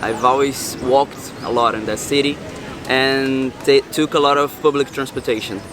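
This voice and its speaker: A man's voice: medium pitch, steady tone, normal speed. A man from Rio with a Brazilian Portuguese accent, giving earnest opinions in a street interview, hesitating with "uh.". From Rio de Janeiro, Brazil. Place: city street outdoors. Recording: street with traffic noise.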